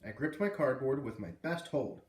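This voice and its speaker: A man reading a children's novel aloud in an expressive, animated way, with casual asides. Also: medium pitch, normal speed. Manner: animated, puts on character voices. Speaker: read-aloud host. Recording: roomy, distant microphone.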